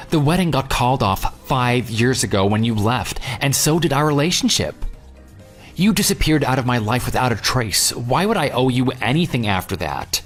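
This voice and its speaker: A man's voice: medium pitch, close to an everyday voice. A man playing a self-assured, unyielding jilted groom who leads and narrates an audio drama in a dry, sarcastic manner. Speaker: narrator and lead character. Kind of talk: audio drama dialogue and first-person narration. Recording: music bed under the voice. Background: background music.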